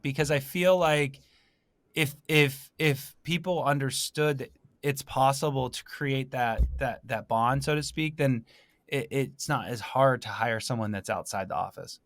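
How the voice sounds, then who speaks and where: Male podcast host, medium pitch, very dry sound. Conversational, halting, rephrases as he goes. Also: a bit bright, no room sound. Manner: halting, repeats and rephrases himself as he thinks aloud. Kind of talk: casual interview chat.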